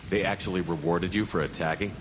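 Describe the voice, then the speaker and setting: A man's voice: sits low, fairly even intonation, quick pace, background noise, lightly acted. An actor playing a hardened male commander who asks terse, composed questions. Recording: reverberant with loud game music.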